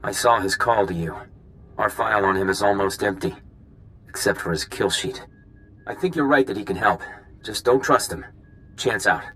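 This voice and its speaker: A man playing a brisk, earnest spy operative in a video game, with clipped, informal phrasing. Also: fairly low pitch, sharp tone, low-quality recording. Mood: brisk, wary.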